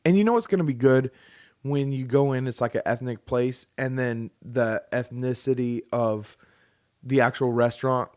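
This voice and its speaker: A man's voice: medium pitch, normal speed, a little mellow. Joking, rambling man podcast host. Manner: joking, rambling, laughs often. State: getting over the flu. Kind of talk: casual chat.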